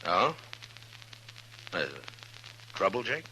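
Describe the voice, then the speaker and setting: An actor playing a steady, plain-spoken western marshal with a laconic manner, in 1950s radio drama style. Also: male voice, on the low side, extremely slow, full character voice. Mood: concerned. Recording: noisy vintage 1950s radio recording.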